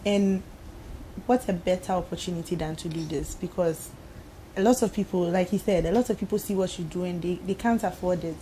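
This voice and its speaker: A woman's voice: fairly low pitch, a little fast, mellow, slight background noise, rough recording. A woman who works as a mixologist, a talk-show guest, easygoing and chatty, often saying "like". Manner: easygoing, laughs, says "like" often. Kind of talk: casual interview talk. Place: TV studio.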